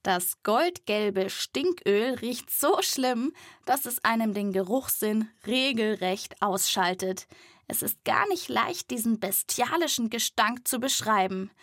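A woman with a fairly high voice narrates for young listeners. She tells the story playfully and vividly, as a children's podcast host. The recording is close and dry. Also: lively intonation, slow pace, no room sound. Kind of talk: scripted narration addressed to children.

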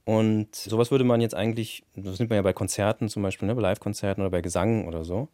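Lowish warm guy voice, studio tech type, chatty explaining, checks in with little tag questions. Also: steady tone, quick pace, dry recording. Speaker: sound engineer. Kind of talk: casual technical explanation.

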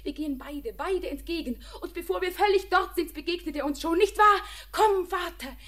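A woman's voice: extremely high pitch, moderate pace, fairly bright tone. An actress playing a young woman who is tender, devoted and anxious, in a classic radio play, speaking in an earnest, pleading way. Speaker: daughter in love with a miner. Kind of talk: 1900s-style radio play acting.

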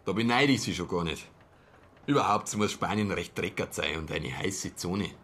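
An actor playing a gruff, contrary Bavarian ex-butcher, speaking blunt colloquial dialect in a radio play. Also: male voice, low voice, expressive, normal speed, fairly bright tone, exaggerated voice. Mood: phlegmatic, dismissive. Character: gruff, phlegmatic, grumbling Bavarian provincial businessman, former butcher.

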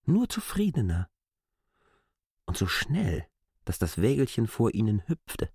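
A man reads literary prose as an expressive storyteller. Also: lowish voice, a little slow, slightly rough, no room sound, studio quality. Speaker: narrator of a literary classic. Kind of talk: scripted literary narration.

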